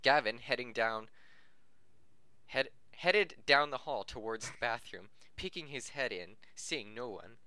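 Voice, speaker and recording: Bright-voiced man stumbling through a reading. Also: mid-range voice, expressive, unhurried, dry recording. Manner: stumbles over the text, giggly. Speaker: podcast host reading fan fiction aloud. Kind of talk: amateur story reading, often breaking up.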